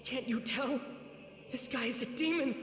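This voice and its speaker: A man's voice: very high voice, moderate pace, fairly bright tone, reverberant, big-room sound, slight background noise. A man playing an earnest, anguished teenage boy demon slayer in an English video game. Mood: shaken, urgent. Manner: emotional, earnest.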